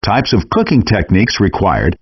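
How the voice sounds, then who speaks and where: A man reading scripted cooking-game instructions in a measured, clearly enunciated, explanatory way, in dull compressed audio. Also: lowish voice, big pitch swings, dry recording.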